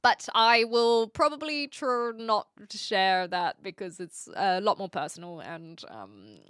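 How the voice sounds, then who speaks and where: A woman's voice: medium pitch, unhurried, fairly bright tone, clean tone, dry recording, clean studio sound. A young woman streamer in her twenties, from Australia, chatting casually and rambling as she reads out viewer comments, often giggling.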